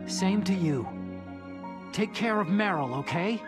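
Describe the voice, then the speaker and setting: An actor playing an earnest, excitable young American scientist ally in a video game. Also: male voice, high voice, a little slow, music in the background. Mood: earnest resolve. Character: nerdy earnest young male scientist ally, newly resolute. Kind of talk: dramatic video game radio-call acting.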